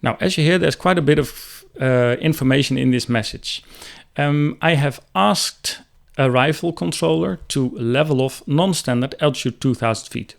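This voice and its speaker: A man's voice: on the high side, gravelly. A man in his thirties, a helicopter pilot, explaining radio procedures in an instructional style into a close desk microphone. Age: 30s. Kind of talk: instructional commentary. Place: small room indoors.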